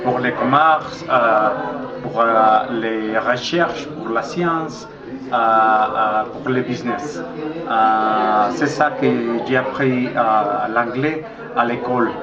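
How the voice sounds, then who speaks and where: A middle-aged man from Mexico with a Mexican Spanish accent, speaking French slowly and hesitantly as the guest in a casual interview, with many pauses and fillers. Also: mid-range voice. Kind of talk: casual interview in a learned language. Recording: dull echoey recording with crowd noise.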